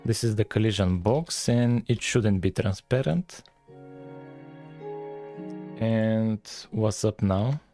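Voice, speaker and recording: A man's voice: fairly low pitch, slow, pauses often, mellow, soft background music. A man from Bulgaria with a Bulgarian accent, chatting casually and thinking aloud as he livestreams his coding. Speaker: live streamer, game developer.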